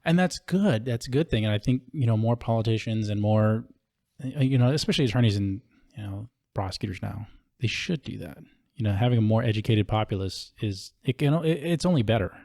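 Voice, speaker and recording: A man's voice: lowish voice, expressive, a little fast, gravelly, a little mellow, no echo. Chatty podcast host man, dry studio sound. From Hawaii. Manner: chatty, informal, uses slang fillers. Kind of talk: casual interview conversation. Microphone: studio microphone.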